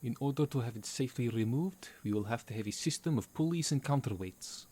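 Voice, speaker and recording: A man's voice: medium pitch, lively intonation, fairly quick, warm tone, lightly acted. A man playing a stern, pedantic half-vampire professor with an Albanian accent and a formal, exasperated manner. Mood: lecturing. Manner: formal, pedantic, article-dropping speech.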